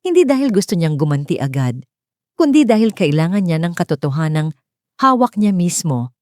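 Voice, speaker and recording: Low-pitched woman narrating drama, lively intonation. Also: no echo, clean studio sound. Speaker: storyteller, narrator. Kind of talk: dramatic scripted story narration.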